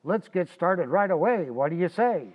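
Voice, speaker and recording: An actor as a cocky, stubborn husband in a vintage-style radio comedy. His voice sits high for a man, and he grumbles and blusters in folksy slang. The recording is a little muffled. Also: animated, normal speed. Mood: cocky, eager. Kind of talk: old-time radio comedy acting.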